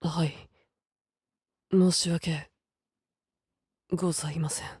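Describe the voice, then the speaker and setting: A woman's voice: low-pitched, little pitch movement, slow, darkish tone, husky, dry recording, heavily acted. A woman playing a meek, deferential subordinate in a Japanese fantasy voice drama, speaking in a subdued, formal way. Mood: chastened.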